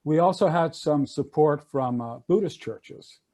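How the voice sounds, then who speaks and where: Older man, mellow and warm, slightly high, chatty and loose, video call. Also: a little slow. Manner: conversational, loose with fillers and restarts. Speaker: attorney and panelist. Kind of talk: recounting history on a panel.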